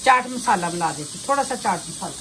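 A woman's voice: mid-range voice, lively intonation, quick pace. A woman giving a casual step-by-step cooking demonstration in a home kitchen, often repeating her phrases as she shows each step. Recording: noisy home kitchen recording.

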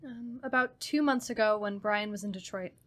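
A woman's voice: medium pitch, moderate pace, close to an everyday voice. A woman playing an anxious, grieving young fiancée in a horror radio drama, hesitant and pleading. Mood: nervous, frightened. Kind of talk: old-time radio horror drama acting.

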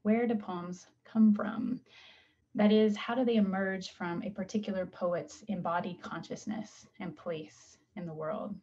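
A woman English professor introducing her research from a room at home over a video call, measured and thoughtful with soft fillers. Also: mid-range voice, fairly even intonation. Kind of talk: faculty self-introduction. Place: home room with paintings and map. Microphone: video call webcam. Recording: dull, muffled webcam sound.

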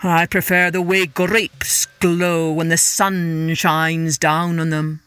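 A woman voicing a solemn, stately male god from a myth, speaking in measured phrases. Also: lowish voice, flat delivery, fairly bright tone, clean tone, pitched below the performer's own voice.

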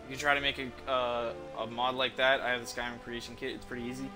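A man's voice: medium pitch, flat delivery, fast. A young man giving casual, profane video game commentary while chatting with his stream viewers. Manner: profane, informal slangy talk. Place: indoor room, streaming from a desk. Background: video game music.

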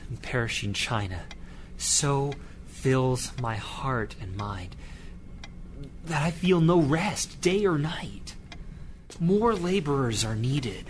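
An actor playing a devout, burdened missionary preacher in an audio drama, grave and fervent in manner. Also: male voice, medium pitch, very animated, slow, slight background noise. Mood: grave, resolute.

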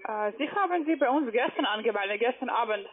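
A woman's voice: fairly high pitch, expressive, quick pace, slightly rough. A woman working as a call-center agent on a sales call, speaking broken German with non-native phrasing, insistent and reassuring, often repeating that she agrees. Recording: tinny phone line.

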